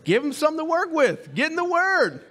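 Animated man preaching from a stage. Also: extremely high pitch, a little fast. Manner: animated, swinging between loud emphasis and hushed asides. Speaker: pastor. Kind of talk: sermon. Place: large church stage. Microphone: headset mic.

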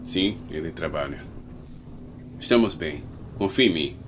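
A man's voice: a bass voice, expressive, unhurried, slight background noise. An actor playing a tense, protective father in a fan-dubbed video game, speaking in an urgent, commanding manner. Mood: firm, tense. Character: protective, tense father fleeing an outbreak with his daughter. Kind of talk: fan-dub game acting in Portuguese.

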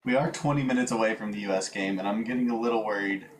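A man hosting and commentating a game stream in a relaxed, easygoing way. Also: fairly low pitch, fast talker. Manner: relaxed, easygoing, laughs along.

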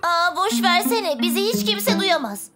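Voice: very high voice, a little slow. An actor voicing a timid, easily frightened young vehicle in a children's cartoon, with music behind. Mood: gloomy.

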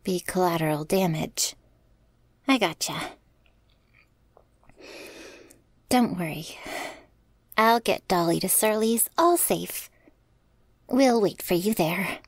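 A woman's voice: lowish voice, expressive, a little slow, long pauses, dry recording. A woman playing a cheeky, loyal vampire's servant in an intimate ASMR audio roleplay, with a casual, teasing way of talking. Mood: concerned, reassuring. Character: cheeky, flirty, loyal female thrall of a vampire. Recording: hissy, rain-like background noise.

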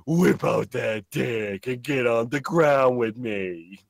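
A man's voice: mid-range voice, slow, creaky, dry recording, studio quality. Man putting on a drawling, flirtatious lady shark. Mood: teasing. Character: brash, flirtatious, lewd female shark.

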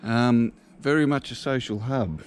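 A man giving a relaxed, casual walking tour outdoors, thinking aloud as he goes. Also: medium pitch, unhurried, a little hiss or hum. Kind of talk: casual walk-and-talk narration. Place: outdoors walking through a small country town.

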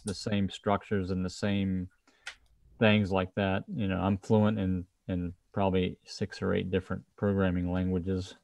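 A man's voice: low-pitched, flat delivery, measured pace, creaky, a little mellow, no room sound. A man co-hosting a casual video call chat, prone to long technical explanations.

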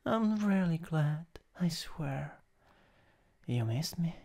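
A man's voice: a little high, animated, slow pace, vocal fry, mellow, heavily acted. A man with an Italian accent playing a possessive, teasing, dominant older stepbrother in an improvised ASMR roleplay. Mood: smug, delighted. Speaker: roleplay audio performer.

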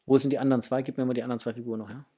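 A man's voice: mid-range voice, level tone, racing, mellow, husky. Enthusiastic middle-aged man chatting casually. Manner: enthusiastic, gushing. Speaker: host of a toy collecting channel. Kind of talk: casual unboxing chat to viewers. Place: small home room indoors.

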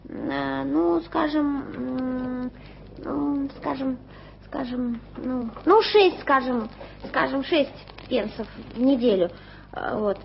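An actress playing a stubborn, streetwise street girl in a radio play, talking bluntly and roughly. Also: high-pitched, a little hiss or hum, exaggerated voice. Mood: bargaining. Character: street girl Tommy, scrappy stubborn waif. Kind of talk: 1980s studio radio play acting. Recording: dull old radio recording.

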